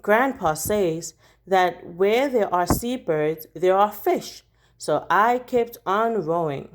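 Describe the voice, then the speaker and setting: A woman reading a children's picture book aloud like a teacher, expressively, with deliberate dramatic emphasis on key words. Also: mid-range voice, animated, background noise.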